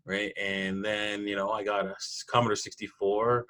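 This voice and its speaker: A man, telling personal stories in a casual interview in an animated way. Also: lowish voice, normal speed. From Toronto, Canada. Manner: animated storyteller, laughs often. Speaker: podcast guest, programmer.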